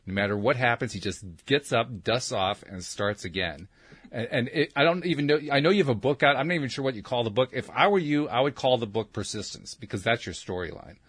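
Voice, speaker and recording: A man's voice: mid-range voice, fairly quick, a bit bright, slightly rough, no echo. A man hosting a talk show, chatting casually with many fillers and restarts, laughing easily as he introduces a guest. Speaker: show host. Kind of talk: casual interview chat.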